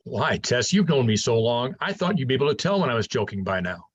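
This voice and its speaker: Man acting a kindly husky older professor, animated. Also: mid-range voice, fast talker, lo-fi sound. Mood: wry. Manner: patient, explanatory, conversational. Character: kindly older male professor, gentle, fond, wry mentor. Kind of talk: staged reading of a science fiction play.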